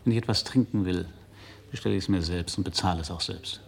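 An actor in a radio drama playing a stubborn young adult son, curt, prickly and defiant. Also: male voice, low-pitched, fast, gravelly.

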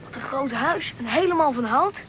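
A woman's voice: high voice, animated, brisk, fairly bright tone, slight background noise. A woman playing a polite, inquisitive young boy who also narrates his story in a Dutch radio play. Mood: curious, amazed. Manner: inquisitive, wondering.

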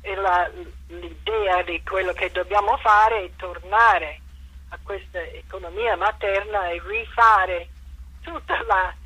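A woman's voice: mid-range voice, fairly even intonation, slow, bright, forceful tone, husky, low-quality recording. A woman answering interview questions, explaining ideas in an unscripted, hesitant way and searching for her words. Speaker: interviewed author and thinker.

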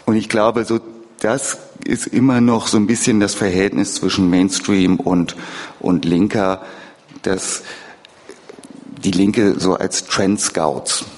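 A man giving an informal political talk in a halting way, thinking aloud as he goes. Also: lowish voice, fairly even intonation, darkish tone. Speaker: speaker at a public lecture. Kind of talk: informal spoken political lecture answer. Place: reverberant lecture room or hall. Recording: echoey hall recording of live talk.

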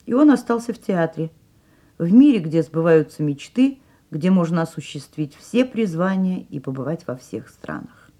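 A woman's voice: lowish voice, animated, normal speed, mellow. A woman hosting a radio programme as a theatre critic, delivering scripted narration.

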